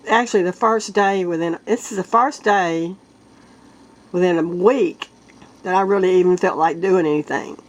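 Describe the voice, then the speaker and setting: A woman with a congested, sick-sounding voice talks to her viewers in a casual vlog. She speaks earnestly in halting bursts, often starting over. She clears her throat and coughs again and again. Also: fairly low pitch, rough recording. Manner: earnest, halting, with many restarts. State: congested, stuffed-up nose from a sinus illness. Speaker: vlogger.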